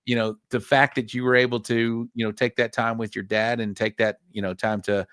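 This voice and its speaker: Middle-aged host, dry close sound. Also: male voice, mid-range voice, flat delivery, fairly quick, a bit bright, clear, resonant tone, no echo. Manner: friendly, says "man" and "bro" a lot. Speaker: podcast host. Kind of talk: casual podcast interview.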